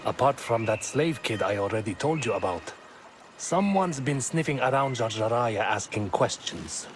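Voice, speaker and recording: An actor voicing a furtive harbor informant who talks in a hushed, hurried way. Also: male voice, medium pitch, expressive, background noise, lightly acted.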